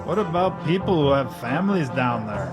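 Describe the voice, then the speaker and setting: Actor as anxious airline crewman, slightly high male voice, questioning, audio drama, music behind. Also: animated, moderate pace, natural voice. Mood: alarmed, anxious.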